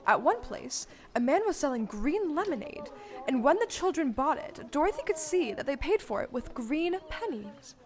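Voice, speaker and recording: High-voiced woman narrating a story. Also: very animated, moderate pace, darkish tone.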